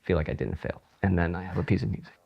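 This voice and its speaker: A laid-back man who grew up in Iceland talks through his creative process in a casual interview. His voice sits on the low side, and he rambles loosely with fillers and easy laughter. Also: very fast, gravelly, highs cut off. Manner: relaxed, rambling, laughs often, many fillers. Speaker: guitarist and composer guest.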